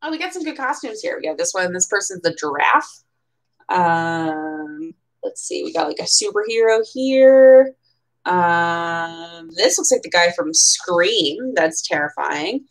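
Animated young American woman reading a storybook. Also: fairly low pitch, a little slow. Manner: expressive storytelling, chatty casual asides. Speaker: bookseller reading at a storytime event. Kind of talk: children's picture book read-aloud with casual asides. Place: small room at home.

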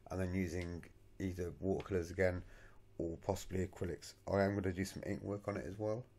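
A man's voice: low-pitched, level tone, a little fast, mellow. A man narrating a voice-over for his scale model painting tutorial in a plain, matter-of-fact way, thinking aloud as he goes.

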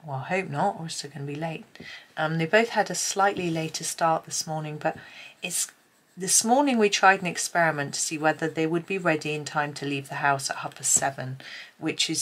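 A woman's voice: low-pitched, a little fast, a bit bright. A middle-aged British woman chatting casually and musingly, often hesitant and trailing off, as she hosts a colouring tutorial. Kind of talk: casual rambling chat while colouring. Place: indoors at a desk.

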